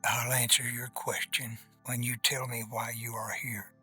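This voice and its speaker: A man voicing a weary old ghostly cemetery caretaker who talks in a hushed, slow, ominous way. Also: mid-range voice, level tone, breathy edge, dry recording, soft background music, heavily acted. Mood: calm, guarded. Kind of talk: audio drama acting.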